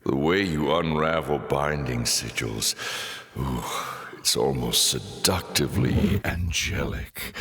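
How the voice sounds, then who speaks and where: An actor playing a sly, mocking fallen angel in a video game, urbane and theatrical, with a sardonic, silky, savouring delivery. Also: male voice, a bass voice, takes it slowly, fairly bright tone, heavily acted. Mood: teasing, amused. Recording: voice in echoey hall reverb.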